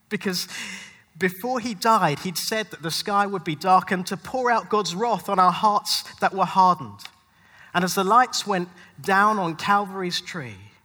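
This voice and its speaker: High-pitched man preaching in a reverberant hall. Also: sharp tone. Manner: dramatic, rhythmic, emphatic delivery with deliberate pauses. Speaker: pastor. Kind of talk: spoken-word rhyming sermon. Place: large hall at a live church event. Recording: long hall reverb, live event.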